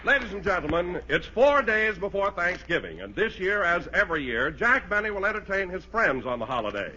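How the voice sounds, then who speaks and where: A man's voice: sits high, very animated, fairly quick, background noise. A male announcer in 1940s radio style, speaking in an emphatic, salesmanlike way. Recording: dull old radio broadcast recording.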